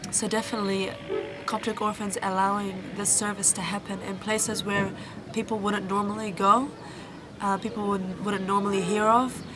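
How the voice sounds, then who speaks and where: Earnest, flat-voiced young woman volunteer. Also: mid-range voice, over music. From New Zealand. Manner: earnest, halting, many ums and restarts. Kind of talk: interview answers. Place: Egyptian village, indoors or outdoors. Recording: noisy background.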